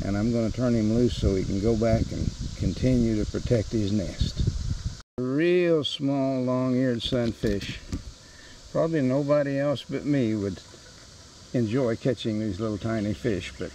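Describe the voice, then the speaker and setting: A man giving casual, unhurried, plain-spoken narration for a fishing vlog, recorded outdoors on a creek with a handheld camera. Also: medium pitch, warm tone, poor audio. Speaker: angler filming his fishing trip. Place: outdoors in a float tube on a creek. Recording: outdoor creek noise with insects. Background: insects and natural outdoor ambience.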